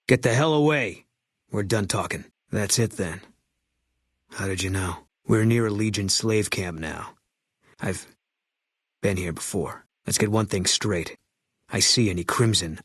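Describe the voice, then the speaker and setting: An actor playing a terse, bitter ex-army sniper in a video game, speaking in clipped, blunt lines. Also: male voice, low voice, fairly bright tone, husky, no room sound, clean studio sound. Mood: hard. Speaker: companion character in a video game. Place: recording studio. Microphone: close studio microphone.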